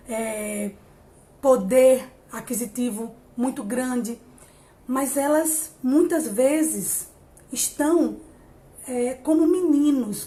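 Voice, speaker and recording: A woman in her late thirties speaks to a live-stream audience in an encouraging, chatty way. Her voice sits fairly high, and she often stops, repeats a word, and starts her sentence again. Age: 30s. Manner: warm, encouraging, many hesitations and restarts. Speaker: psychologist hosting a live stream. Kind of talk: casual live-stream talk on emotional growth.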